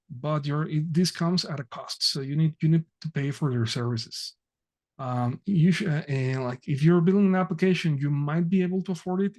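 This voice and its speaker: A man presenting an online technical workshop over a video call, talking haltingly with many fillers and restarts. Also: fairly high pitch, a little mellow.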